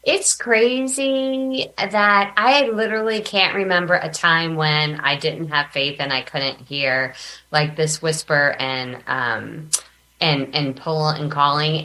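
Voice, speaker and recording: A woman guest on a podcast telling personal stories casually, chatty and animated, acting out bits of dialogue. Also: low-pitched. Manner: animated, chatty, quotes herself and others in dialogue. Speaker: podcast guest, business coach. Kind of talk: casual personal storytelling in an interview.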